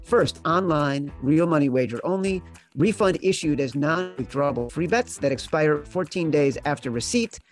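Male host for scripted sponsor read. Slightly high pitch, light music bed. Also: moderate pace. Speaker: podcast host reading sponsor ad.

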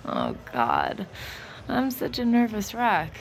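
A woman's voice: mid-range voice, wildly swooping pitch, takes it slowly, creaky, exaggerated voice. An actress playing a guarded, nervy woman with a secret, in hesitant, understated audio drama style. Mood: nervous. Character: guarded, nervy adult woman, secret mind reader, wry. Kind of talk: audio drama acting, intimate narration and dialogue.

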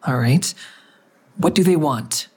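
A woman's voice: low voice, slow, darkish tone, husky, exaggerated voice. A woman playing a grim, confrontational investigator in an audio drama, questioning in a terse, dry, needling way. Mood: probing. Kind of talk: audio drama acting with narration.

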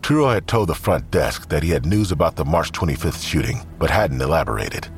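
A man's voice: very deep voice, big pitch swings, brisk. A man narrating a scripted audio drama in the role of storyteller.

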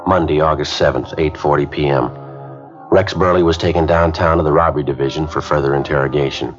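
A terse detective in an old radio play, reading his case report in clipped, flat statements. His voice is very low, with music behind it and a dull old-radio sound. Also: brisk. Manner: clipped, matter-of-fact. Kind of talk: 1950s radio drama acting.

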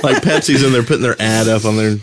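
A man's voice: medium pitch, fast talker, noisy background. A man co-hosting a casual gaming podcast in an enthusiastic, joking way. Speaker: podcast co-host.

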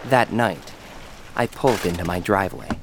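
Deadpan male narrator, low voice. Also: moderate pace, slight background noise. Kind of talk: first-person scripted narration.

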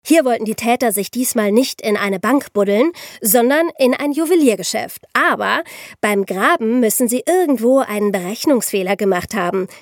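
A woman with a fairly high voice hosts a true-crime podcast in a dry, close studio sound. She tells her material conversationally, as an animated storyteller who speaks straight to the listeners. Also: normal speed, no room sound. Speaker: podcast host.

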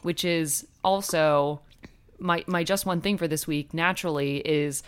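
A young woman co-hosting a podcast in a casual, chatty way, animated and swearing now and then. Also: sits low, measured pace, clean tone. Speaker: podcast co-host. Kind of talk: casual chat.

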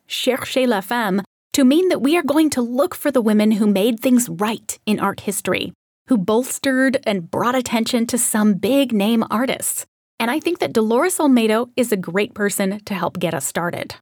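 A woman's voice: a little high, lively intonation, moderate pace, no room sound, clean studio sound. A woman hosting an art history podcast, reading a scripted narration in a conversational way with wry asides. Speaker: podcast host.

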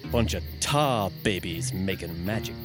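An actor playing a menacing, taunting mob leader with a folksy Southern US accent in an audio drama. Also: male voice, sits low, animated, measured pace. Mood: sneering. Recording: loud music behind the voice.

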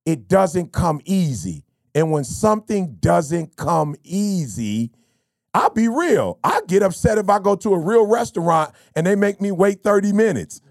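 A man, hosting a podcast in casual, animated talk full of slang and stories. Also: sits high, a little slow, gravelly, dry recording, studio quality. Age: 50s. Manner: animated, storytelling, slangy with bro and you feel me. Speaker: podcast host. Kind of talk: casual motivational chat.

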